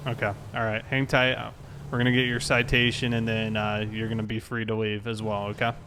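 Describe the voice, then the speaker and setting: A man roleplaying a police officer, speaking calmly and firmly with polite, procedural instructions. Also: fairly low pitch, fairly quick, slight background noise. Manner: calm, firm, polite but instructive.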